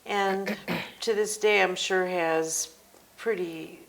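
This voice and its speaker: An American woman hosting a personal interview, summarizing and prompting her guest in a measured, conversational way. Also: fairly low pitch, steady tone, creaky, rough recording. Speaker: interview host.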